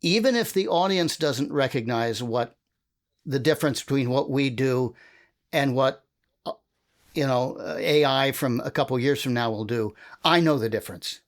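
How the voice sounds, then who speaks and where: A man's voice: mid-range voice, normal speed. Musing male panel moderator, mid-range voice. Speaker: panel moderator and audio drama creator. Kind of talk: casual panel discussion.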